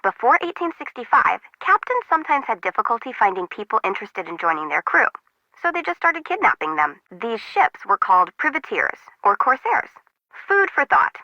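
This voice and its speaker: A woman's voice: fairly high pitch, very animated, moderate pace, sharp tone, no echo, highs cut off, heavily acted. An actress playing a chatty, disorganized, slangy young female harbor worker in a video game, bubbly and wry. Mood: enthusiastic explaining.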